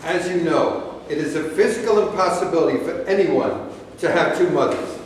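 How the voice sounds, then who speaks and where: A man reading the part of a coach who gives measured, guarded answers to reporters in a staged play reading. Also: on the high side, a little hiss or hum, close to an everyday voice. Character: guarded man fielding the press. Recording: echoey hall from far off.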